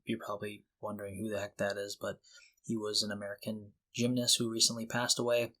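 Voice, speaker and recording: A man co-hosting a podcast, talking casually and explaining things in an informal, halting way. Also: on the low side, completely flat delivery, pushed and bright. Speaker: podcast co-host.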